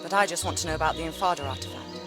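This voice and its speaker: A woman's voice: sits low, rapid-fire. A woman playing a cool, determined treasure-hunting adventurer in a video game, composed, dry and direct. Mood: cool, probing. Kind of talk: dramatic video game cutscene acting. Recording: music behind the voice.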